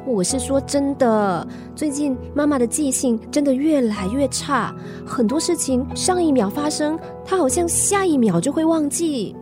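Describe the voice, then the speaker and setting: Cheeky caring girl, very high voice, coaxing, radio drama, music behind. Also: lively intonation, moderate pace, few pauses. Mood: earnest, worried. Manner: coaxing, pleading, playful. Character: caring, cheeky young granddaughter, peacemaker of the family.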